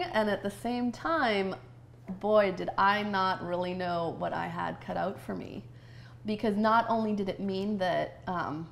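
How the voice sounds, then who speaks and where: A woman's voice: medium pitch, gravelly, a little hiss or hum. A middle-aged woman business coach, raised in Canada after an early childhood in Romania, talking as an interview guest in an enthusiastic, thoughtful way with frequent fillers. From Romania, grew up in Canada. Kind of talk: conversational interview.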